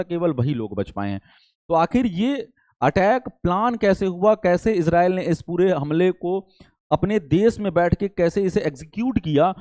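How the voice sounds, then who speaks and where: High-pitched man teaching a lesson. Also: darkish tone, no echo. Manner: didactic, repeats key points and asks rhetorical questions. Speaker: exam-prep teacher. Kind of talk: explanatory lecture to students.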